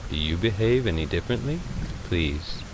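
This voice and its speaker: Audiobook role: philosophical male hermit acted by a male reader, low-pitched, quietly polite and detached, with lilting variation. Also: animated, normal speed, noisy background. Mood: mild. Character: mild, detached, philosophical male recluse, gently bemused. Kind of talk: audiobook character voice.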